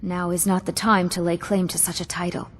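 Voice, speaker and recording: A woman's voice: lowish voice, flat delivery, fairly quick, lightly acted. A woman voicing a young widowed ruler in a fantasy video game, regal and solemn, with grief and bitterness under her formal speech. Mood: solemn, resolute. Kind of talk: scripted game dialogue. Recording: long hall reverb.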